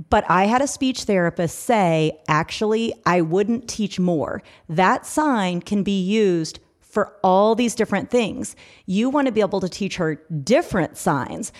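A woman's voice: mid-range voice, darkish tone. A middle-aged woman hosting a podcast, talking casually and earnestly as a parent giving practical advice. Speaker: podcast host.

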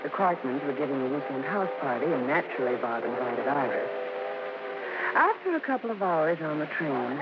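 An American woman playing a grown daughter who narrates family memories in a measured old radio drama style. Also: low voice, very animated. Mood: reflective. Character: grown daughter fondly recalling her show-business family. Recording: muffled, noisy old radio broadcast.

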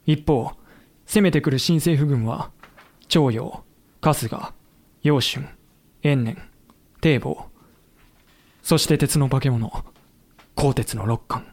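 A man narrating a historical audio drama in a solemn, deliberate, dramatic way. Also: mid-range voice, a little mellow.

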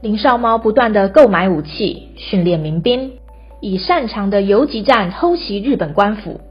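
A woman's voice: mid-range voice, lively intonation, moderate pace, breathy edge. Taiwanese Mandarin woman narrator, slightly dull sound. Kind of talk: scripted storytelling narration for radio. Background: music.

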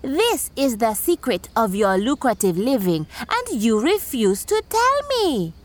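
High-pitched, greedy, whiny tortoise. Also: female voice, sing-song, measured pace. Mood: accusing. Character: greedy, pleading, sly tortoise in a folktale. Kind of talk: animated children's storytelling.

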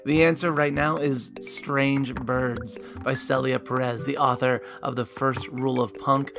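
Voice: mid-range voice, steady tone, unhurried, over music. A podcast host chatting casually and laughing while asking questions. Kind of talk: casual interview chat.

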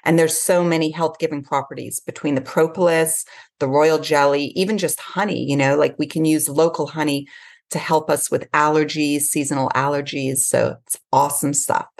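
A woman's voice: low-pitched, steady tone, normal speed, gravelly, darkish tone. A woman raised in Switzerland and England, an effusive podcast guest talking about her life. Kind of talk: podcast interview.

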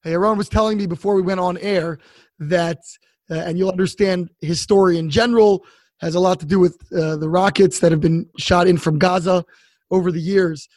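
High-voiced male interview host. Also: steady tone, darkish tone, no room sound. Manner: measured, friendly questioning with occasional fillers. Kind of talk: podcast interview.

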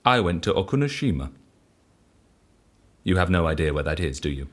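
A man playing an eccentric, self-assured devotee of chance in an audio drama, asking clipped, probing questions and speaking with calm conviction. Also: low voice, moderate pace. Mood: coolly boastful.

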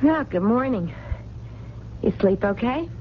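An American actress playing a compassionate, stubborn working-class waitress with direct, slangy, wry talk in a 1970s radio drama. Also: female voice, fairly high pitch, expressive, unhurried. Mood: friendly, brisk. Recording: dull, noisy vintage radio broadcast recording.

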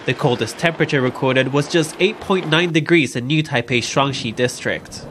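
A man's voice: mid-range voice, normal speed, fairly bright tone. Formal male weather reporter, faint noise. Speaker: news reporter.

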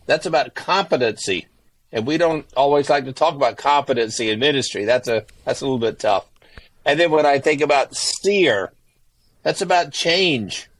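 A man's voice: medium pitch, fairly bright tone, no echo. A man who is an author and former pastor, speaking earnestly in a podcast interview and often repeating himself as he restarts phrases.

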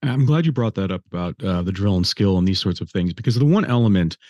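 A man's voice: sits low, expressive, fast, mellow, dry recording, highs cut off. An older man hosting an interview, asking long, meandering questions full of fillers. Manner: rambling, thinking aloud with many fillers. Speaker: host interviewer.

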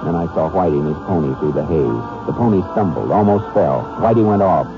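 An actor playing a tough, steady Texas Ranger in a 1950s radio western, speaking tersely and sternly. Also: male voice, low-pitched, a little fast. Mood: grim narrating. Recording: dull old radio recording, faint music.

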